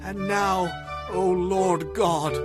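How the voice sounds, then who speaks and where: A man's voice: high-pitched, steady tone, loud music behind the voice. A man playing a humble, devout king praying reverently and earnestly in a dramatized audio Bible. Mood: reverent pleading. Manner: reverent, earnest, slow drawn-out phrases.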